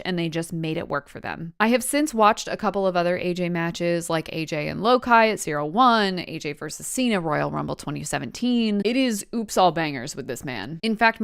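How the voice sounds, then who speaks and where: A woman's voice: lowish voice, clear, resonant tone. A woman hosting a vlog, giving enthusiastic commentary in an informal, slangy, effusive way. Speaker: host.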